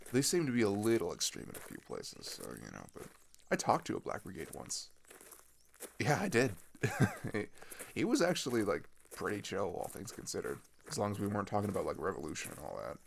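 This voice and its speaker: Low, animated, rambling teenage guy played by a man. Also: vocal fry, slight background noise, exaggerated voice. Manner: rambling, halting, slangy, with animated retellings. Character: chatty, excitable teenage runaway boy telling road stories. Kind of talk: improvised-sounding ASMR audio roleplay monologue.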